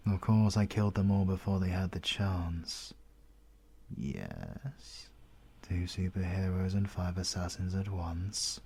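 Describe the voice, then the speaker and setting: A man playing a deep, gravelly British villain. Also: warm tone, slight background noise, full character voice. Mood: smug, threatening. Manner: slow, deliberate, pompous and threatening. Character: menacing, mysterious male supervillain who drains life force. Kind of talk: ASMR audio roleplay monologue.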